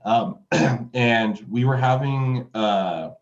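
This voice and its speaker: A man's voice: mid-range voice, very slow. A man in his twenties from Utah, a music producer telling his story in an interview, rambling with lots of fillers and restarts. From Utah, USA. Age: 20s. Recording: dull, lo-fi sound.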